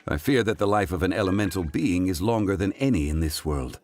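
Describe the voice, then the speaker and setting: A man playing an ancient, composed god of contracts in a video game, speaking with formal, measured eloquence. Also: low voice, animated, a little mellow. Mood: solemn, calm. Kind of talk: scripted video game dialogue. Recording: game audio with music behind. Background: background music.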